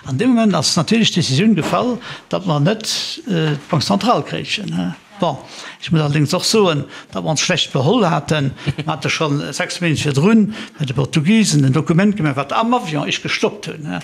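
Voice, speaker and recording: A man's voice: a little high, fairly quick, gravelly, husky. An older man with a Luxembourgish accent, chatting casually as an interview guest, rambling through memories and slipping French words into his talk. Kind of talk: casual reminiscing conversation.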